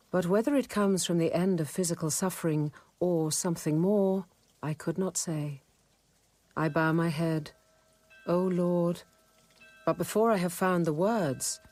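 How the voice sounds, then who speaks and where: An actress playing a sober, observant nun who narrates a radio drama in a measured, contemplative way. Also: female voice, fairly low pitch, mellow, no echo.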